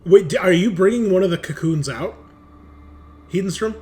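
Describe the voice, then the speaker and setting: A man running a tabletop roleplaying game as game master, narrating in a halting, descriptive way over an online call. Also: high voice, a little mellow. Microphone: online group call. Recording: clear background noise.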